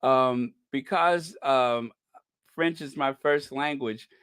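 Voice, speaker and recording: Male livestream host: resonant and slow-paced, joking, mock-indignant, halting casual delivery. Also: mid-range voice, a bit bright, clear, resonant tone, no room sound.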